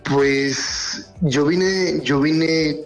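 A man's voice: on the high side, fairly even intonation, drawn-out words, poor audio. Young man, faint Colombian accent, medical researcher, thoughtful and hesitant, faint music behind. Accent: faint Colombian, softened by years in Spain. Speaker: medical researcher, interview guest. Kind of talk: radio interview.

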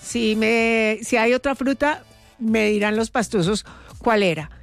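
A woman's voice: fairly high pitch, measured pace, gravelly, soft background music. A woman from Colombia hosting a radio show in a chatty, playful, storytelling way. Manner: lively, storytelling, playful asides. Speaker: radio host.

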